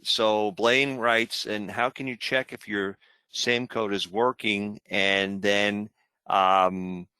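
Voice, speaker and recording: A man's voice: on the low side, pushed and bright, smooth, no echo, poor audio. A man hosting a webinar, casually moderating and reading out questions from the audience.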